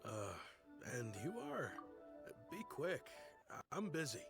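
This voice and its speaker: A man's voice: on the high side, big pitch swings, measured pace, vocal fry, exaggerated voice. An actor playing a busy, skeptical townsman in a video game, hesitant and politely impatient, with music behind. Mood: flustered. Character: busy, skeptical male townsman brushing off a saleswoman.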